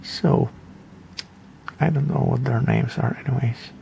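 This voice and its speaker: A man's voice: mid-range voice, animated, a little slow, creaky, mellow, slight background noise. A man giving a casual game tutorial in a rambling, offhand, hesitant way. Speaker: gaming video creator.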